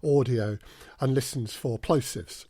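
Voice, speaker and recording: A man's voice: medium pitch, measured pace, warm tone. A man speaking as an audio drama producer in an interview, with hesitant, stop-start phrasing. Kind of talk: interview conversation.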